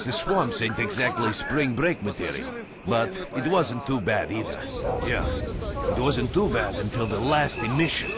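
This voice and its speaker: An actor playing a chummy, gossipy bartender in a video game, talking in an easygoing, colloquial way. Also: male voice, mid-range voice, close to an everyday voice. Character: folksy, joking, gossipy swamp-base bartender. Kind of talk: video game character acting. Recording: loud music behind the voice.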